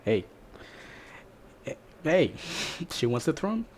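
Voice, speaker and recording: A man's voice: a little high, sing-song, slow, darkish tone, a little hiss or hum. A man chatting casually as a co-commentator, reacting in short, offhand interjections.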